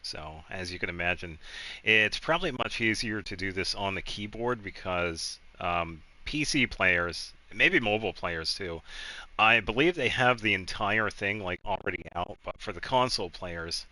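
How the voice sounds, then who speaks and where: A man's voice: low-pitched, moderate pace, pushed and bright, poor audio. A man streaming a video game, chatting in a casual, easygoing and rambling way. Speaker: game livestreamer. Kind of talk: casual chat while playing a game.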